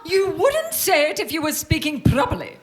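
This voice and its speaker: Blunt, aggrieved housewife for radio drama, female actor, high voice, very animated, sardonic. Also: normal speed, full character voice. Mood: dry, sardonic. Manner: sardonic, indignant. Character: blunt, aggrieved, penny-pinching middle-aged wife. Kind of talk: live radio play acting. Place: theatre stage before an audience.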